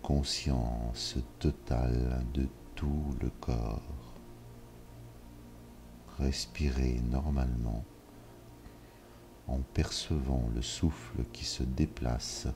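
A man leading a yoga nidra session, giving calm, measured instructions with long pauses. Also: very deep voice, vocal fry, slight background noise. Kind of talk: slow guided relaxation. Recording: soft music behind. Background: soft ambient music.

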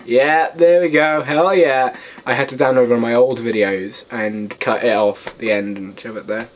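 A man's voice: medium pitch, fairly even intonation, normal speed. A seventeen-year-old man from Wales rambling casually to a webcam in his bedroom, in a self-deprecating way. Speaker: vlogger. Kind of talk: casual rambling vlog to camera. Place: small bedroom, indoors.

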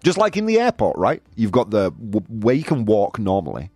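Animated male talk show host, music behind. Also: on the low side, normal speed, dry recording. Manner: animated, jokey, incredulous, punctuates talk with "dude". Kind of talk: casual live show chat with listener comments.

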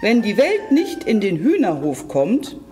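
Storybook hen, voiced by a reader: self-assured, proud, tech-mad, expressive and unhurried. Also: mid-range voice, pitched above the performer's own voice.

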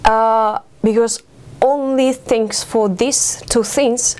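A young woman in her twenties from Ukraine with a Ukrainian accent, giving thoughtful interview answers as a doctoral exchange student and pausing often with fillers. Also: fairly high pitch, steady tone, darkish tone, smooth. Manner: thoughtful, many fillers and pauses. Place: studio with campus backdrop.

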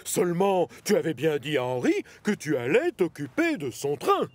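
An actor playing a stern middle-aged railway boss in a children's cartoon dub, authoritative and scolding. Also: male voice, on the high side, wildly swooping pitch, moderate pace.

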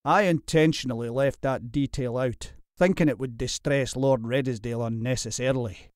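A bold rogue from a historical audiobook, acted by a male narrator. He talks in a controlled, threatening way with a hint of a snarl. The voice is mid-pitched and recorded dry. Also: moderate pace, darkish tone, no echo, lightly acted. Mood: tense suspicion. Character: bold young thief disguised as monk, menacing, protective. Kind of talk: audiobook character acting.

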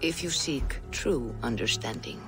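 An actress playing a solemn female Viking seeress who speaks in a measured, mystical way. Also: rumbling low, animated, vocal fry, music in the background, full character voice. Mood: guiding. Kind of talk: video game cutscene.

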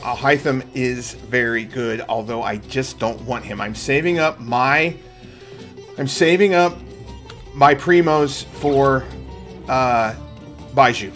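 A middle-aged man giving casual gameplay commentary, thinking aloud in a gravelly voice. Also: medium pitch, slow pace, fairly bright tone. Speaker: game streamer. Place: small indoor room at home. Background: faint game music.

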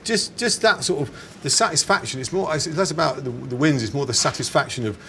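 A man's voice: fairly high pitch, brisk, bright, forceful tone. A man in his forties with an English accent, chatting casually and correcting himself as he answers as an interviewed business founder. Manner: hesitant, chatty, self-correcting. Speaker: snack company founder being interviewed. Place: indoors in a bar. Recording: clear background ambience noise.

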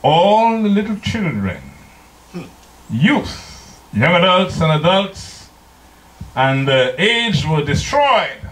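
An older man from Trinidad, a pastor preaching a slow, deliberate sermon into a handheld microphone in a church, now and then singing hymn lines. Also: high-pitched, very animated, a bit bright. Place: church sanctuary. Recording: echoey church room.

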